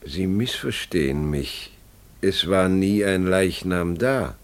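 An actor playing a grave, bewildered family father who tells his story haltingly and deliberately in a German radio play. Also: sits low, lively intonation. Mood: grave, resigned.

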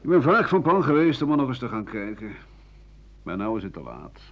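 An actor playing an embittered, war-weary French doctor who broods over his past in a slow Dutch radio play. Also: medium pitch, sing-song, natural voice. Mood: wistful. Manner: slow, brooding, halting delivery with swings of emphasis. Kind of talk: 1980 Dutch radio play, monologue and dialogue. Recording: dull, noisy old radio recording.

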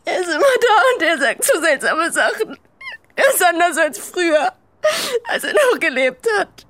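An actress playing a streetwise, distrustful young runaway haunted by grief, blunt and colloquial, in a German radio drama. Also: female voice, very high-pitched, very animated, moderate pace, a bit bright, exaggerated voice. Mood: tearful, desperate.

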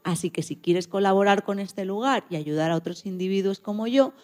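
A woman's voice: mid-range voice, a little fast, a little mellow. A woman hosting a radio program, reading from a script.

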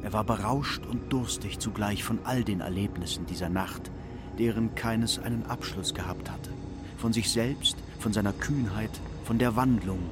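A man's voice: lowish voice, normal speed. A man narrating a literary radio play in a scripted style, with music behind his voice.

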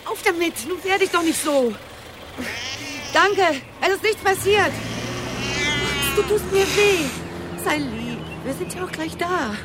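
A woman's voice: very high-pitched, animated, slow pace, pauses often, heavy background noise, heavily acted. An actress playing an anxious, evasive middle-aged mother in a radio crime drama, with a natural, nervy, placating manner. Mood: flustered, alarmed. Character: anxious, evasive small-town mother and cat owner.

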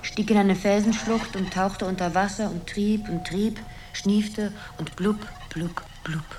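A dreamy young woman muses her way through a surreal radio play, performed by an actress. Her mid-pitched voice is wistful and halting, with faint noise and ambience behind it. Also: steady tone, darkish tone, close to an everyday voice. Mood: dreamy, musing. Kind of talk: poetic radio drama monologue.

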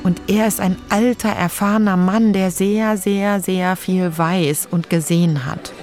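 A woman's voice: medium pitch, fairly even intonation, unhurried, close to an everyday voice. A woman playing an anxious, self-doubting reporter, speaking her inner thoughts as first-person radio play narration. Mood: anxious, hesitant. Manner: self-questioning, introspective. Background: music.

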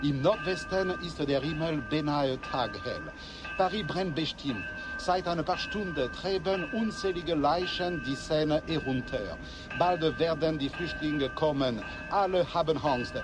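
Anxious eyewitness reporter, radio drama: highish voice, urgent, fearful, music underneath. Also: male voice, animated, a little slow. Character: anxious male eyewitness reporting from the French countryside. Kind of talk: radio play field report.

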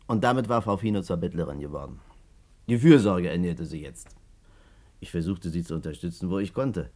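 A man's voice: low voice, brisk, darkish tone. An actor playing an embittered man recounting his ruin in a 1950s German radio drama, emphatic and rhetorical. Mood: resigned.

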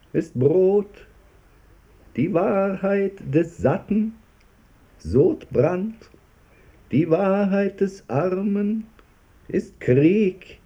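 An actor playing an eccentric, giggling drunken vagrant who speaks in playful, mocking riddles in a radio play. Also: male voice, sits high, mellow, slightly rough, lo-fi sound, heavily acted. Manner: chuckling, sing-song, playful riddling. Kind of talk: German radio play acting.

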